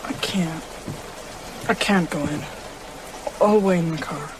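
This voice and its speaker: A woman's voice: on the low side, slow pace. A woman voicing a young boy numbed by grief in an audio drama, speaking in a subdued, grave way. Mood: numb, grieving. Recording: clear rain-like noise behind voice.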